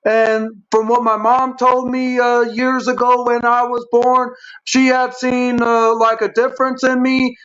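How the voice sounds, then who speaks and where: A man with an extremely high, monotone voice talks as a guest on a show. He stutters and speaks haltingly, circling back and running long. The recording is dull and lo-fi. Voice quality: stuttering speech impairment. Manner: halting, repetitive, long-winded. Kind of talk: personal explanation on a talk show. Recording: dull, lo-fi stream audio.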